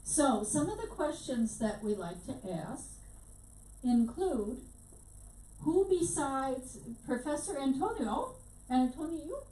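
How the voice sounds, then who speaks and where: A woman's voice: high voice, very animated, slow pace, mellow. A woman teaching a workshop, talking conversationally with plenty of fillers and restarts. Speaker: workshop instructor. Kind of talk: informal workshop lecture. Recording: echoey room, mic at a distance.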